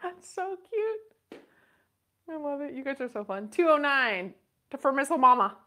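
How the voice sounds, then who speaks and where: A woman's voice: sits high, big pitch swings, moderate pace, pushed and bright. A woman in her thirties hosting a live online purse auction in a shop, chatty and bubbly as she calls out bids. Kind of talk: live-stream sales auction. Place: western boutique shop, indoors.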